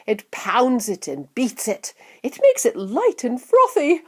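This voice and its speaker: A woman's voice: on the high side, huge pitch swings, breathy edge, pitched above the performer's own voice, exaggerated voice. A woman voicing an exuberant, eccentric male candy factory owner who proudly shows off, stretching words theatrically in a storybook reading. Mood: showy delight. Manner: theatrical, drawn-out emphatic words.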